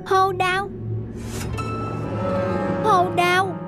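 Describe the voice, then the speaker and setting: A woman voicing a small, mischievous young squirrel in a cartoon, with a childish, excitable way of talking. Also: very high-pitched, very slow, full character voice. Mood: anxious, eager. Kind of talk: cartoon voice acting. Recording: faint music behind.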